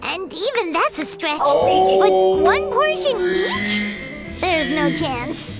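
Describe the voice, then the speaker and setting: Game fairy companion, childlike and excitable, very high-pitched, unhurried delivery. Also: female voice, loud music behind the voice, full character voice. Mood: whiny, defeated. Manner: refers to self in third person, whiny. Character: tiny excitable fairy companion, childlike, proud big eater. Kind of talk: video game character acting.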